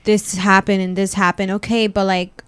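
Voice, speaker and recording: Smooth-voiced young woman, casual chat. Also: mid-range voice, level tone, normal speed, fairly bright tone, dry recording. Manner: casual, uses "like" a lot.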